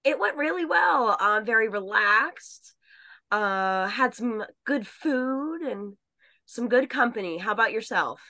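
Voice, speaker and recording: A woman co-hosts over a video call with a bright, forward voice. She is a little froggy from a cold. She chats in a friendly, teasing way. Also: on the high side, lively intonation, slow. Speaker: co-host. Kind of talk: casual chat.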